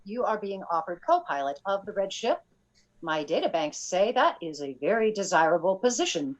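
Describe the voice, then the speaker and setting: A woman playing a polite, literal-minded robot bartender in a comic radio play, speaking formally and precisely with deadpan manners. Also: medium pitch, normal speed, dull audio, close to an everyday voice. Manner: formal, precise, without contractions. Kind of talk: comic old-time-radio-style acting.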